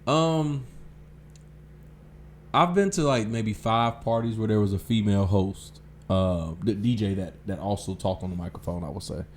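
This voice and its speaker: A man's voice: fairly low pitch, normal speed. A man hosting a casual podcast interview, easygoing and full of "okay" and "um". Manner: easygoing, lots of "okay" and "um" backchannels. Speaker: podcast host.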